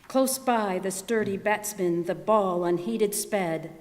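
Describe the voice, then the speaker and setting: Female verse narrator. Mid-range voice, unhurried, in a reverberant hall. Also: medium pitch, darkish tone. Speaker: readers theater performer. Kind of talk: narrative poetry recitation.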